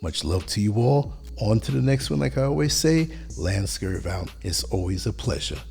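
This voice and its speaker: A man hosting a podcast, giving a motivational monologue in short, emphatic lines, his voice hoarse from a long day of talking. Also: on the low side, lively intonation. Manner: measured, emphatic delivery in short declarative lines. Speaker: podcast host.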